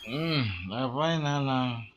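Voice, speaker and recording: A man's voice: medium pitch, takes it slowly, background noise, close to an everyday voice. A man with a Filipino accent acting a hard-drinking, evasive son and husband in an audio drama. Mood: drunk, groggy. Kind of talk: amateur Ilocano radio-style drama acting. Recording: dull lo-fi audio.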